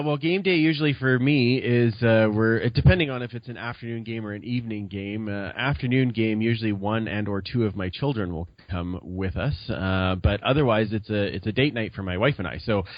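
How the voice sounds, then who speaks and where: A middle-aged man chatting as a podcast guest, halting with many fillers and restarts. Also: on the low side, dry recording. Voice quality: loud voice. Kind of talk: casual chat. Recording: dull lo-fi sound.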